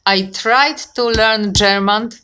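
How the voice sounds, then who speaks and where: Slow, encouraging woman. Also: on the high side. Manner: slow, encouraging, asks questions and corrects gently. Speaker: conversation partner. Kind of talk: casual conversation practice.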